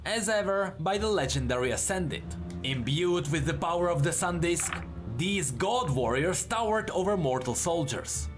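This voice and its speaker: A man reading scripted fantasy lore as a narrator, in a measured, dramatic storytelling manner. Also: sits high.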